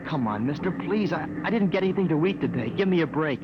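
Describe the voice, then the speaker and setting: Man playing an earnest teenage boy, highish voice. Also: animated, fast, lightly acted. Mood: pleading. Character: earnest, hungry sixteen-year-old runaway spaceship crew boy. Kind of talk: 1950s radio drama acting. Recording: muffled, very noisy 1950s radio recording.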